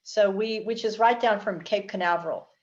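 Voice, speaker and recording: A woman's voice: mid-range voice, normal speed. A woman from Texas chatting casually and spontaneously as a guest in a conversation. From Houston, Texas, USA. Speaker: interview guest. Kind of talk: casual chat. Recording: dull lo-fi call audio.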